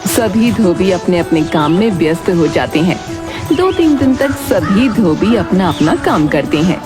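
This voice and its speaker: A woman's voice: on the low side, expressive, unhurried, over music. A woman reading scripted narration for a children's moral tale.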